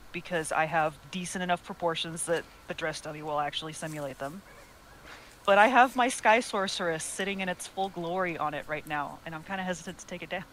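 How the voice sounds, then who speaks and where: A woman's voice: lowish voice, a bit bright, poor audio. A woman co-hosting a podcast, chatting casually and at length. She goes into detail and pokes fun at herself. A faint hiss of noise sits under her voice. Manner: chatty, detailed about sewing, self-deprecating. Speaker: podcast co-host. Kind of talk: casual chat.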